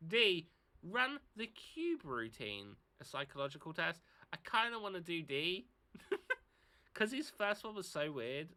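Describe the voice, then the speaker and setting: Animated British streamer, bright voice. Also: male voice, high voice, measured pace. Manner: animated, jokey, reads out options. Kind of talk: live game stream commentary.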